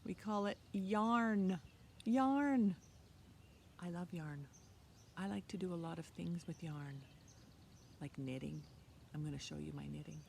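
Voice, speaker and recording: A woman's voice: fairly low pitch, measured pace, gravelly, long pauses, a little mellow. A woman in her fifties hosts an interactive preschool storytime, speaking in simple short phrases and asking children encouraging questions. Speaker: children's library storytime host. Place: outdoors in a leafy backyard. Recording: outdoor ambient noise.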